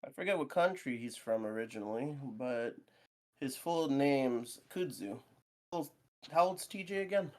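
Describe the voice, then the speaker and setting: A man reading the lines of a friendly, easygoing young man in a visual novel, in a relaxed, offhand way. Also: mid-range voice, normal speed, natural voice.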